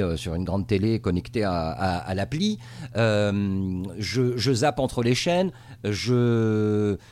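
A man's voice: medium pitch, darkish tone, no room sound. A middle-aged man from Tunisia, a TV journalist, answering interview questions in a casual, hesitant way full of fillers and restarts. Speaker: TV journalist based in the US.

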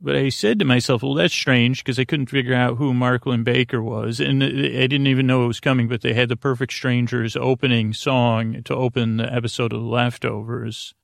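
A middle-aged man delivers a meandering bedtime monologue as a podcast host, halting often and looping back on himself. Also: medium pitch, level tone, gravelly, no echo, studio quality. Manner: hesitant, halting, trails off and loops back.

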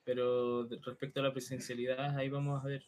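A young man from Chile with a Chilean accent, chatting and explaining slowly with many fillers on a podcast. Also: medium pitch, level tone, a little mellow. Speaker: podcast co-host. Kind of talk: casual chat.

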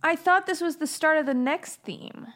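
High, giggly female co-host. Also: normal speed. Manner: giggly, apologetic, excitable. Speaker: podcast co-host. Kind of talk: casual chat.

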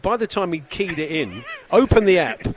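A man's voice: high-pitched, big pitch swings, moderate pace, background noise. A man co-hosting a podcast, chatting casually with a dry, joking manner. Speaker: podcast co-host. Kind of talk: casual chat. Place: indoors, travelling abroad.

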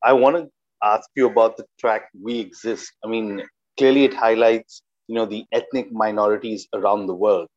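Podcast host, deep voice, even questioning, dull lo-fi recording. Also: male voice, normal speed. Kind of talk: interview questions.

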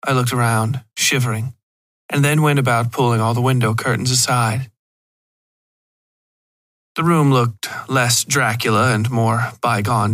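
A man reading first-person dark fiction as a narrator. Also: mid-range voice, no room sound.

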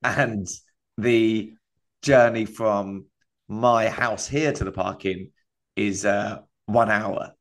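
A man's voice: fairly low pitch, fairly even intonation, slow. A man co-hosting a comedy podcast, telling a rambling travel anecdote in casual chat and laughing often.

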